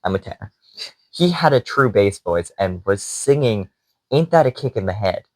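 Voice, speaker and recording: A young man co-hosting a podcast, telling an animated story in casual chat with frequent laughs. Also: on the low side, moderate pace, dry recording. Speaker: podcast co-host.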